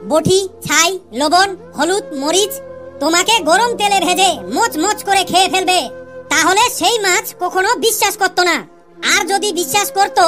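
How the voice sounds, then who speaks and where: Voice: extremely high pitch, bright, forceful tone. A performer voicing a cheeky cartoon schoolboy who tells stories to a teacher in a childlike, declamatory way. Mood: earnest, preachy. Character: cheeky schoolboy pupil telling husband-and-wife jokes to teacher. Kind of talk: Bengali comedy cartoon voice acting.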